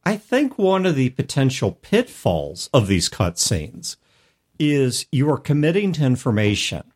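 Male host, medium pitch, highly varied intonation. Articulate, emphatic explainer in a conversational gaming discussion. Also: huge pitch swings, a little slow, darkish tone. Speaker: podcast host. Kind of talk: conversational advice on tabletop game mastering.

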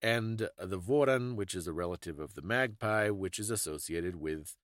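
Explanatory man with a lowish, close, dry voice. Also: normal speed, no echo. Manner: explanatory, thoughtful. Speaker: podcast co-host. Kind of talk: casual discussion of folklore and fiction.

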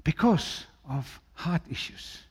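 A male preacher with an Afrikaans accent and a fairly high, animated voice that jumps all over its range. He moves from ringing, declaimed lines down to hushed, low asides. His first language is Afrikaans. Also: takes it slowly, husky. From South Africa. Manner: swings from loud declaiming to quiet, low asides. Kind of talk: sermon.

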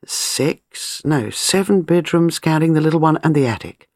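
A woman's voice: sits low, lively intonation, moderate pace, a little mellow, no echo. Woman narrator as an eager young wife, impulsive, imaginative, musing, swooping intonation, storybook dialogue, dry close recording. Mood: interested.

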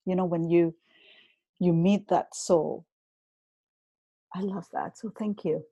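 A woman with a Venezuelan Spanish accent, a native Spanish speaker, chatting as a guest business coach in a podcast interview. She speaks in a slow, reflective way, with many fillers and repeated words. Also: fairly low pitch, fairly even intonation, dark tone, husky, dry recording, dull audio. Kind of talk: casual interview conversation.

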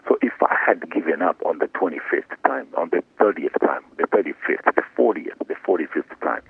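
A man's voice: sits low, lively intonation, brisk, gravelly, husky, no echo, phone-quality sound. A man speaking casually as an interview guest, in an encouraging way that repeats phrases for emphasis. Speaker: guest actor and musician being interviewed.

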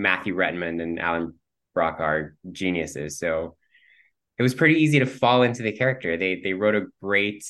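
Playful, chatty man with a low voice. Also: a bit bright. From Cuban heritage. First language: Spanish. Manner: chatty, playful, laughs easily, many ums. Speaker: guest actor. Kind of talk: casual interview chat.

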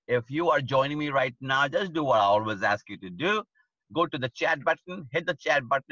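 A man's voice: mid-range voice, sharp tone, no room sound, dull audio. A man giving a livestreamed leadership talk, stressing his points by repeating key phrases. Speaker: leadership speaker.

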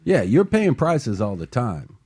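A man's voice: medium pitch, huge pitch swings, measured pace, mellow, husky. A man hosting a motivational talk show and coaching, talking earnestly and building to passionate emphasis.

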